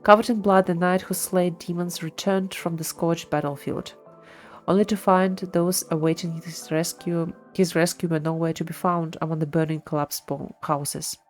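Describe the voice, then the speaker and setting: A woman's voice: lowish voice, little pitch movement, moderate pace, darkish tone. A woman streamer giving live commentary on a video game and its lore. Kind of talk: live gameplay commentary with lore read aloud. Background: game music.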